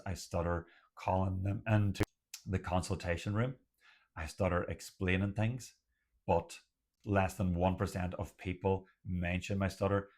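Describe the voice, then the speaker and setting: A young man, a doctor who stutters, talking to camera about his own stuttering in a measured, deliberate way with occasional stutters. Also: low voice. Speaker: doctor and community host. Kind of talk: personal talk to camera.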